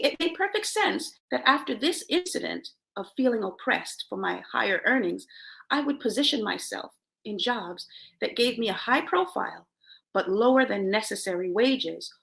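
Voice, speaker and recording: A middle-aged woman hosting a self-help show, reading aloud and commenting in an emphatic motivational style with deliberate pauses. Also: mid-range voice, gravelly, a bit bright. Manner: emphatic, dramatic pauses, chuckles between phrases.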